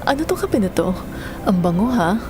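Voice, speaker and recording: A woman's voice: medium pitch, sing-song, normal speed, lightly acted. A woman acting a loving, doting mother in a Tagalog audio drama, speaking tenderly. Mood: fond, playful. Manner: tender, mixes in English phrases.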